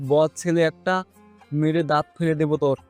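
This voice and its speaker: A man's voice: a little high, little pitch movement, moderate pace, clear, resonant tone, close to an everyday voice. A man acting an angry, scolding father in an audio family drama. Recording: music under the voice.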